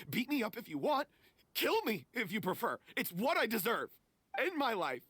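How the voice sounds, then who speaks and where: Guilt-ridden troupe performer, very expressive. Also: male voice, medium pitch, gravelly, breathy edge, no echo, heavily acted. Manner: remorseful, halting confession. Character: guilt-ridden male theater troupe member, confessing and self-loathing. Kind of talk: dramatic voice acting.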